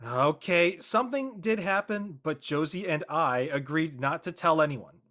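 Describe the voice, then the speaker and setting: Man playing an awkward teenage boy, lively intonation. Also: on the high side, measured pace. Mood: evasive. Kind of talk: casual scripted drama dialogue.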